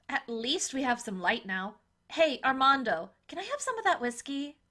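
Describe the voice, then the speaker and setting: A dramatic, complaining young woman in a cheesy horror audio drama, played by an actress. Her pitch swings widely and she takes her time over the lines, sounding snappish and easily spooked. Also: sing-song, unhurried, bright, forceful tone, exaggerated voice. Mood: irritable.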